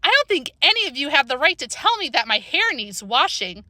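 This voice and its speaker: A woman puts on a young girl for a storybook, blunt and cheeky. Her voice sits high and moves about in lively swings, with bold, touchy emphasis. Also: brisk, dry recording, studio quality, full character voice. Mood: indignant.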